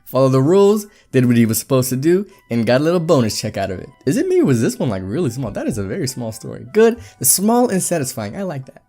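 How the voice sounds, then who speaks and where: A man reading stories aloud and chatting between them in a lively, animated way. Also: mid-range voice, fast, hardly any pauses, faint music behind. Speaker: Reddit story narrator.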